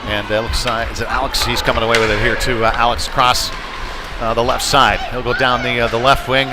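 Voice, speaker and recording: A man's voice: medium pitch, little pitch movement, fairly quick, heavy background noise. A man doing live play-by-play hockey commentary as the announcer, dropping conversational asides between calls. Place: ice rink, live at the game. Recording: live rink broadcast with music behind. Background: music over venue speakers.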